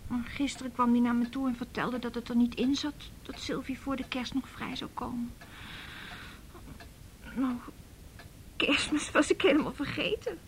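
An actress playing a hurt, defiant young runaway girl in a naturalistic radio drama, telling things in a halting, wry way. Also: a little high, fairly even intonation, slight background noise, natural voice, low-quality recording. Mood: resigned.

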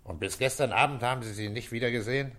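An actor playing a calm, probing police commissioner with quiet authority and terse, matter-of-fact delivery in a German radio crime play. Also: male voice, medium pitch, fast, natural voice.

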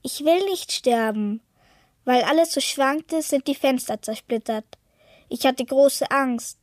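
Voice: high voice, takes it slowly, no echo, clean studio sound. A female speaker voicing a scared young schoolchild giving scripted radio testimony about an earthquake. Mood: fearful, earnest.

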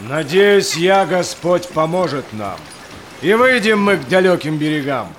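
Fedot, slow high-voiced honourable Cossack leader. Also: male voice, very animated, a bit bright, a little hiss or hum. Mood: grave, wary. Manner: measured, declaiming in rhymed verse. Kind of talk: verse audio drama acting.

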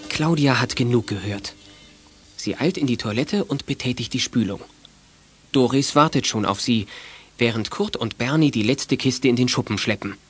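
A man serves as narrator of a children's audio drama. He reads scripted story passages in a voice of middle pitch. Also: normal speed, husky, faint music behind.

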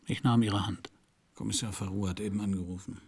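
An actor playing a broke, world-weary private detective, narrating and speaking in a subdued, melancholy, gravelly voice in a noir radio drama. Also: male voice, fairly low pitch, steady tone, fairly quick, darkish tone. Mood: somber, quiet. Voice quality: gravelly, husky. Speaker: narrating protagonist.

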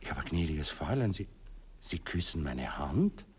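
A bashfully courteous nobleman in a German radio drama. His low voice moves up and down in animated swings as he hesitates, gets flustered and gushes politely. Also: normal speed, breathy edge, exaggerated voice. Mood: gushing, bashful. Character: polite, flustered aristocratic count, naively admiring. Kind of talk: German radio play acting.

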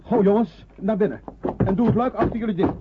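A man's voice: high-pitched, very noisy, close to an everyday voice. A man acting a courteous, thoughtful village pastor in a Dutch wartime radio drama. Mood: urgent. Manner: measured, polite, thoughtful. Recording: muffled, band-limited old radio recording.

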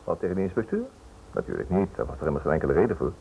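An actor playing a stubborn, suspicious Dutch writer in a radio play, wry and probing with hesitant pauses, on an old thin recording. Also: male voice, sits low, very animated, dull audio, slight background noise. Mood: evasive.

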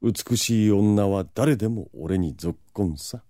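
A haughty vampire lord in an audio drama. His voice is very deep and dark, recorded dry and close. He talks brusquely, with cool self-assurance and a gruff protective streak. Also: unhurried, dry recording. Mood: gruff, indulgent. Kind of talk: Japanese audio drama acting.

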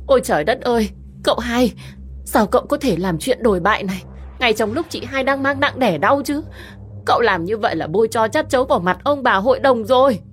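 A man voicing a scheming young woman in falsetto, shrill and falsely concerned, in a dramatic story reading. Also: animated, normal speed, darkish tone, slight background noise. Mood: mock outrage. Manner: shrill, theatrically fake concern.

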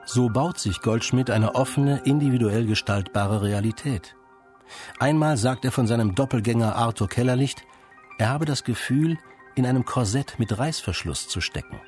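A man narrating a radio feature in a measured, composed, scripted way. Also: mid-range voice, darkish tone, faint music behind.

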